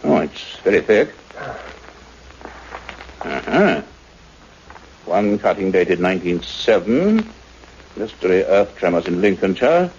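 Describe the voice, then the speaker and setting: A man's voice: sits low, sing-song, slow pace, pauses often, darkish tone, heavily acted. A man acting an earnest, methodical scientist in a radio play, speaking formally and precisely. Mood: grave, reading out. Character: earnest, methodical male scientist, a doctor who narrates. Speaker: actor playing the lead and narrator. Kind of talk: radio drama acting and scripted narration. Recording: muffled radio recording with faint noise.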